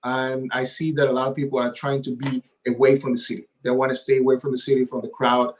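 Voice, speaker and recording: A man whose first language is Spanish, speaking as a guest realtor at a middle pitch. He talks eagerly and at length, checking in with a frequent "right?". The tone is easy and conversational. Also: level tone, fast talker, a little hiss or hum. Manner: eager and talkative, often adds "right?". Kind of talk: casual interview talk.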